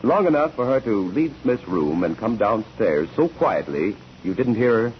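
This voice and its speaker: A man's voice: lowish voice, sing-song, moderate pace. An actor playing a methodical male courtroom examiner in 1940s radio style, questioning in a formal, probing way. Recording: dull, noisy 1940s radio recording.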